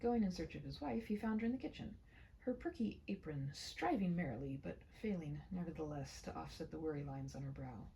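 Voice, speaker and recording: A woman reading her own short story aloud in a hushed, deliberate way, often slipping into whispers, with slow phrasing and dramatic pauses. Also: deep voice, expressive, gravelly, a little hiss or hum, low-quality recording. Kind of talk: read-aloud of a literary short story, soft and ASMR-leaning.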